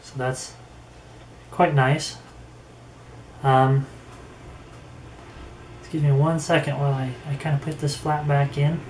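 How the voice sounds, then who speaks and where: A man giving a casual, unhurried product review, haltingly thinking aloud as he goes. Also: medium pitch, little pitch movement, a little mellow. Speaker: gear reviewer. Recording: roomy echo with faint background noise.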